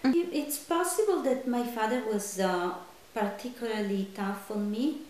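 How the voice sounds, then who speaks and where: A middle-aged woman from Italy, a native Italian speaker, answering interview questions in English in a hesitant, thoughtful way with many pauses. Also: fairly high pitch, a little mellow. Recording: echoey room with faint hiss.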